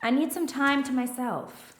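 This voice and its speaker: A young woman playing a shaken, curt high school cheerleader in an audio drama. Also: a little slow, echoey room. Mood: guarded, upset.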